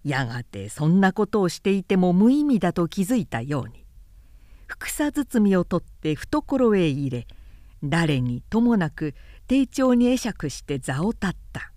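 A woman narrator reading literary fiction aloud in a measured, expressive storytelling manner. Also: fairly low pitch, no echo, clean studio sound.

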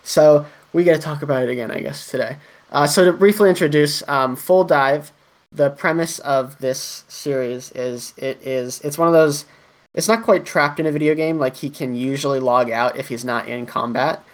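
A man's voice: a little high, normal speed. Rambling man on an anime podcast. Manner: rambling, many fillers and restarts. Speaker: podcast co-host. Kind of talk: casual podcast chat.

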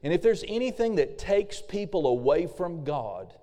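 A middle-aged male pastor preaching a fervent sermon with frequent amens, his voice slightly hoarse and a little raspy. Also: fairly high pitch, very animated, moderate pace, mellow. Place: church sanctuary. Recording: long hall reverb.

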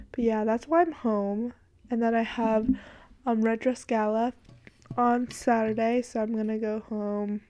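A woman's voice: fairly high pitch, darkish tone. Congested young woman chatting casually. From Washington state, US. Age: young adult. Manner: rambling, full of "like" and "literally", with giggles. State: congested from allergies. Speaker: podcast host. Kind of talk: casual chat. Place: her dark bedroom at night.

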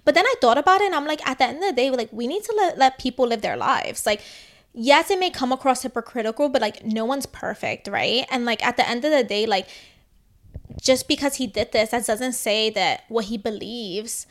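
A woman's voice: fairly high pitch, brisk. A woman co-hosting a podcast, giving her opinions in casual chat and saying "like" a lot. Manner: chatty, lots of "like", animated opinions. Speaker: podcast co-host.

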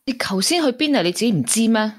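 A woman's voice: fairly high pitch, expressive, fast talker, background noise, natural voice. An actress playing a jealous, sceptical girlfriend in a radio drama, with a Hong Kong accent and pointed questioning manner. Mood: angry, jealous. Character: jealous, sceptical woman questioning her boyfriend. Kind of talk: Cantonese radio drama acting.

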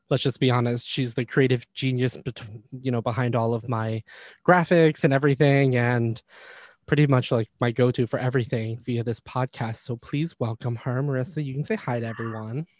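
A man's voice: medium pitch, fairly even intonation, moderate pace, darkish tone, no room sound, clean studio sound. A man hosting a language podcast, chatting casually and rambling a little with fillers. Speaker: podcast host.

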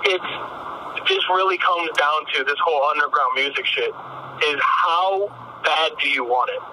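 A man's voice: fairly high pitch, moderate pace, pushed and bright, slight background noise, low-quality recording. A man talking casually and bluntly as an interview guest, slangy and prone to swearing, on a call from a car. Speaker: music artist, interview guest. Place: in a car while driving.

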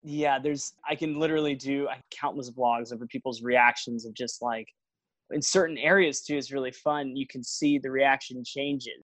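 A man's voice: mid-range voice, steady tone, moderate pace, fairly bright tone, dry recording. Chatty young man on a dry mic. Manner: rambling storyteller, many fillers and restarts. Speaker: travel vlogger, guest. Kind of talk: casual interview chat.

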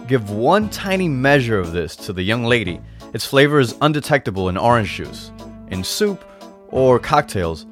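A man's voice: mid-range voice, fairly bright tone, voice pushed up. Man acting a sly, coaxing old shopkeeper. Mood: coaxing, persuasive. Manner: persuasive, emphatic, savoring repeated words. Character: sly old male shopkeeper selling potions, patient and coaxing. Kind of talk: storybook character voice in a read-aloud tale.

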